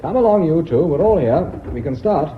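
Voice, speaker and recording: A man's voice: a little high, expressive, a little fast, slight background noise, close to an everyday voice. An actor playing a formal, authoritative British company chairman in a radio drama, courteous and businesslike. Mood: matter-of-fact. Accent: British English. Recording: muffled old radio recording.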